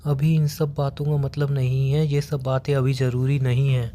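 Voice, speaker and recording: Male actor as worried young boyfriend, Indian accent, mid-pitched, insistent, repetitive pleading, devoted, phone-call drama. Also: level tone, moderate pace, warm tone, slight background noise, close to an everyday voice. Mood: insistent, hurt. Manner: pleading, questioning, repeats himself insistently.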